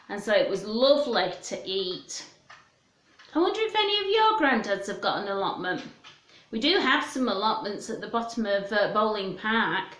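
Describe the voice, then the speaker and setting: A woman presenting a children's storytime, chatting in a gentle sing-song way and putting questions to young listeners. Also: fairly high pitch. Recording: roomy, echoing room sound.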